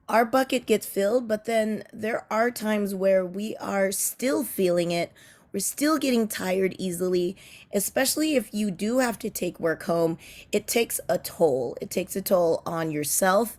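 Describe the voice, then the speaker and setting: A middle-aged woman, a teacher and parent, sharing tips in a chatty talk to camera, her voice a bit raspy, with many fillers and restarts. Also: medium pitch, a little mellow. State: slightly raspy voice from cheering at kids' sports.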